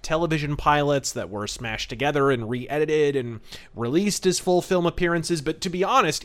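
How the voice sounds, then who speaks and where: A man hosting a podcast, giving commentary in an emphatic, theatrical manner with florid wording. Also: a little high. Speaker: podcast host. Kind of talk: podcast commentary, semi-scripted talk.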